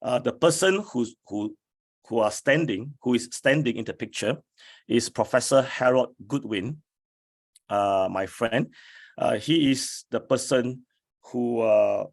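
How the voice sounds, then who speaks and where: A man, an academic, giving a slide lecture in a hoarse voice, speaking deliberately with many fillers. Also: medium pitch. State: hoarse from flu.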